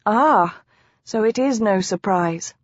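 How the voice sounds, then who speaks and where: A woman's voice: mid-range voice, lively intonation, takes it slowly, no echo. A woman voicing a spirited, emotional young wife in a historical romance audiobook, with old-fashioned phrasing. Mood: curious. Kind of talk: audiobook character dialogue. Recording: dry, slightly dull close recording.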